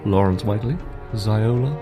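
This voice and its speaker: Man, credits announcer, scripted read, lower pitch, prominent music bed. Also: normal speed.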